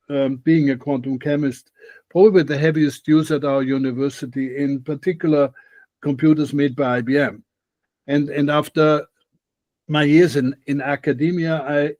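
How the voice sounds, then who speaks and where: A man's voice: a little high, dark tone, no echo. An older man from Austria with an Austrian accent, a guest expert answering questions thoughtfully on a video call, often restarting his sentences. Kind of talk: interview answers. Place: home room, video call.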